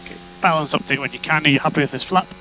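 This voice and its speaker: Clipped, bright-voiced male race engineer on radio. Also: on the high side, very fast. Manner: terse, clipped, repeats instructions. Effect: team radio. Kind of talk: motor racing team radio instructions. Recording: noisy team radio with electrical hum.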